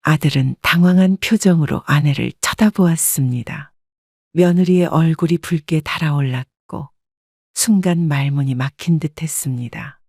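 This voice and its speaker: A woman narrating a first-person family story in a calm, scripted storytelling style. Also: low voice, normal speed, dry recording, studio quality.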